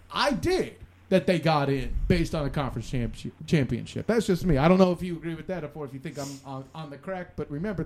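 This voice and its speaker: A man co-hosting a casual sports talk show, animated and opinionated, with a joking streak. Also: on the high side.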